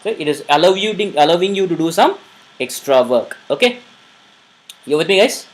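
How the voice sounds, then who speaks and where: A man's voice: a little high, expressive, moderate pace. A male instructor talks through a beginner programming lesson in a live online session. He goes over each point more than once and stops often to ask whether his listeners are following.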